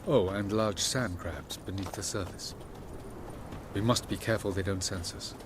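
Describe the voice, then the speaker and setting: A man's voice: deep voice, normal speed, background noise, lightly acted. An actor playing an aloof, ancient male elf sage who speaks in formal, condescending sentences in a video game. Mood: lofty.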